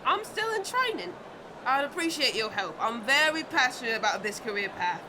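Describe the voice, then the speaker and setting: High, animated young swindler girl. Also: moderate pace, background noise. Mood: persuasive. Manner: persuasive, mock-polite. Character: confident, fast-talking Victorian London street girl. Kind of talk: audio drama acting.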